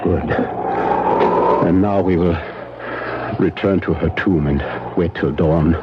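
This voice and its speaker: Grave, low-voiced old vampire-hunting professor. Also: male voice, fairly even intonation. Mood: solemn. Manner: solemn, deliberate, urgent instruction. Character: grave commanding older male professor and vampire hunter. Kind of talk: 1970s radio drama acting. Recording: dull noisy 1970s radio broadcast.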